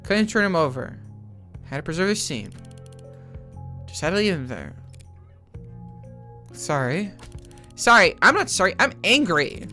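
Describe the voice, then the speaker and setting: A jaded and sardonic detective-drama character played by an actor, with a medium-pitched voice. The delivery is blunt, conversational and sarcastic, with music playing underneath. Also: very animated, a little slow, long pauses, a bit bright. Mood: angry, bitter.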